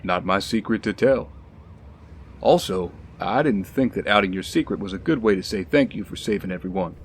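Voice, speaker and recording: A man playing a steady, loyal ex-soldier bodyguard in an audio drama, calm and measured. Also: lowish voice, a little hiss or hum, lightly acted. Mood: calm, frank.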